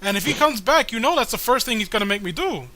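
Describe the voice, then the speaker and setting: Casting: whiny, unwilling spirit sidekick played by a man, high sharp voice, stammering pleas, comic read-aloud. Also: lively intonation, fast talker. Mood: pleading. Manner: hesitant, whiny pleading. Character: reluctant, pleading dog-spirit sidekick, sick of its master. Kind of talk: comic dramatic reading of a webcomic.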